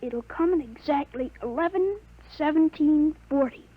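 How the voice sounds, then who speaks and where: A woman playing a thirteen-year-old boy with a grown man's mind in a 1950s radio drama, speaking calmly and matter-of-factly on a dull, lo-fi old recording. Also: sits high, moderate pace, close to an everyday voice. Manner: calm, matter-of-fact, self-assured.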